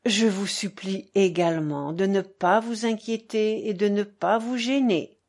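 A woman voicing a frail, majestic old monastery elder in a novel, calm, kindly and reassuring. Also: fairly high pitch, slow pace, full character voice.

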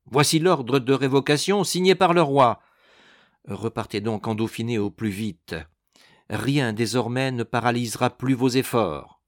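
A man's voice: mid-range voice, unhurried, dry recording, clean studio sound, lightly acted. A man voicing a proud, courtly police minister, ceremonious and assured, in a storybook reading. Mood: triumphant, brisk.

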